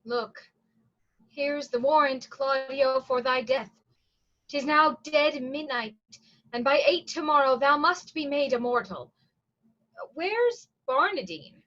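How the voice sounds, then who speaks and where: Dull-sounding, high-voiced commanding prison provost played by a woman. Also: lively intonation, slow, pauses often, sharp tone, exaggerated voice. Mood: sober, pitying. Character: brisk, commanding prison provost, stern yet pitying. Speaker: actor in a staged reading. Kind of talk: Shakespearean stage reading.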